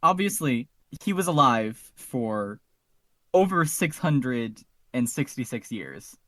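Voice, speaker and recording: A man speaks as a podcast co-host, moving between casual discussion and reading out summaries. His pitch sits in the middle range. The sound is dry, with hardly any room. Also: sing-song, slow pace, a bit bright, dry recording.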